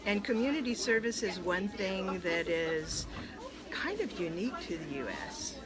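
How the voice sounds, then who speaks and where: A woman coordinating exchange students, explaining the program in a measured way during an interview. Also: mid-range voice, lively intonation, background noise. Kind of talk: interview answers. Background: crowd chatter.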